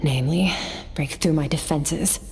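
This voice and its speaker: A woman's voice: deep voice, measured pace, gravelly, darkish tone. A woman playing an aloof, formidable swordswoman in an anime fantasy game, speaking with composed, dryly condescending deliberation. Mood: detached, matter-of-fact. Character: cool, aloof, formidable young swordswoman, coldly analytical. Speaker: video game character voice. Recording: long reverb, game music behind.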